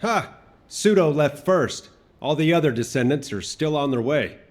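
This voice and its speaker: An actor playing a casual, sardonic veteran fighter in a video game, with a dry joking manner. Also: male voice, on the high side, lively intonation, normal speed, lightly acted. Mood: wry. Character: wry, laid-back veteran male fighter, Blair. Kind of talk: video game dramatic acting.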